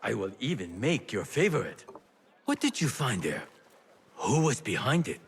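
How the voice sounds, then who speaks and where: An actor playing a worldly, teasing merchant smuggler in a video game, with a husky voice and an affectionate, theatrical manner. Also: male voice, medium pitch, very animated, normal speed, in a large hall, a little hiss or hum. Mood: jovial, teasing. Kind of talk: video game cutscene acting.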